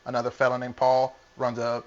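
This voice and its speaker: A man, a gym coach answering interview questions in a plain-spoken, halting way. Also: medium pitch, monotone. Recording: muffled lo-fi audio.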